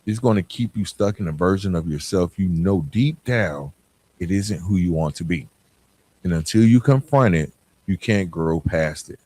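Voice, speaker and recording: An American man hosting a podcast, giving a reflective motivational monologue in an earnest, emphatic way with deliberate pauses. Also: low-pitched, animated, a little mellow. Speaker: podcast host.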